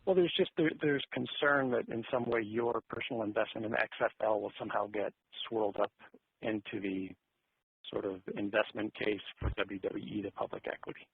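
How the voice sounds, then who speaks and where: Gravelly, husky man on a phone line. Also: fairly low pitch, steady tone, fairly quick, no room sound. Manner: hesitant, hedging, conversational. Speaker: financial analyst asking questions on an earnings call. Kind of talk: spontaneous business questioning. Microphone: telephone. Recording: muffled, narrow phone line.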